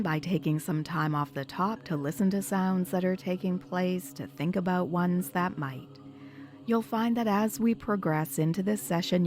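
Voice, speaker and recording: A woman hypnotherapist leading a guided meditation in a soothing, measured, instructional manner. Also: on the low side, a little mellow. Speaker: hypnotherapist guiding a session. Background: background music.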